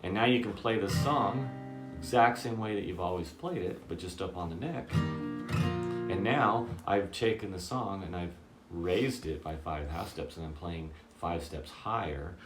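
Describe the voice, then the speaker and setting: A man with a very low voice giving a casual guitar lesson, checking in often with "okay?" and "does that make sense?". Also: measured pace, echoey room. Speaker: guitar teacher.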